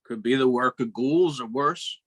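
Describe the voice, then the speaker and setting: A man's voice: medium pitch, unhurried, fairly bright tone, dry recording. A man talking casually as a player in a tabletop roleplaying game.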